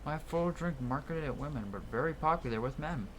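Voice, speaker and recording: A man's voice: fairly high pitch, a little fast, a little hiss or hum, rough recording. A man giving casual live commentary on a video game, rambling as he thinks aloud and reads out game text. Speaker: game streamer. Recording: game music behind the voice. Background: video game music.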